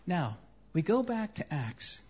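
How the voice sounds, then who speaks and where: A man preaching through an interpreter, telling stories in an animated way and acting out scenes. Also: high-pitched, slow, breathy edge. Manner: animated storytelling, acts out scenes. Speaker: guest preacher. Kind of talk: sermon with live interpreter. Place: church service. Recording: dull muffled church recording.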